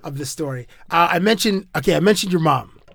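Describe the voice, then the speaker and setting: A man's voice: a little high, animated, a little fast, gravelly, a bit bright. A man hosting a casual interview, asking long, rambling questions. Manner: conversational, asks rambling questions. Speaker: podcast host.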